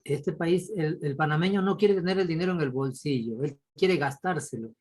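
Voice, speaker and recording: A man's voice: fairly high pitch, normal speed, breathy edge. A man from Ecuador, a course participant, talking casually and animatedly in an online class, exclaiming as he tells examples. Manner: apologetic, animated storytelling with exclamations. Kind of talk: casual video-call discussion.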